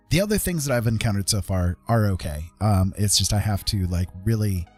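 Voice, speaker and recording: A man's voice: lowish voice, darkish tone. A man giving casual live gameplay commentary as a streamer, chatty and thinking aloud. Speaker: game streamer, host.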